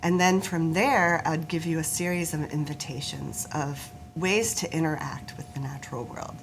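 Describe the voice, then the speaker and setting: A woman's voice: sits low, fairly even intonation, moderate pace, gravelly, slight background noise, low-quality recording. A woman guest on a talk show, a forest therapist, explaining her work in an earnest, informative way. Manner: earnest, informative, laughs easily, some restarts.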